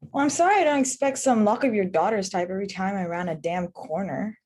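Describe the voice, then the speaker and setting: A woman's voice: medium pitch, fairly quick, a little mellow, lightly acted. A woman acting a combative, mouthy female paramedic in a screenplay table read.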